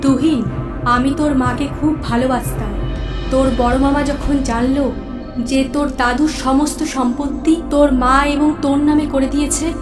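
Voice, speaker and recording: A dead aunt's ghost, voiced by a woman in a horror cartoon. Her high voice is deliberate and mournful, loving yet vengeful. It echoes over clear background music. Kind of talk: cartoon horror story dialogue.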